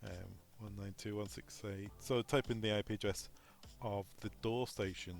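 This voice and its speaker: A man's voice: lowish voice, steady tone, normal speed. A man presenting an unscripted technical tutorial, informally talking through each step aloud as he goes. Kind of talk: unscripted step-by-step software walkthrough.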